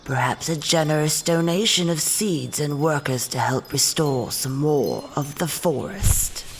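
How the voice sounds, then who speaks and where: An actress playing a courteous, deferential petitioner in a fantasy audio drama. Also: female voice, low-pitched, animated, a little slow, low-quality recording. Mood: polite, grateful. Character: courteous, deferential female donor petitioning a lord.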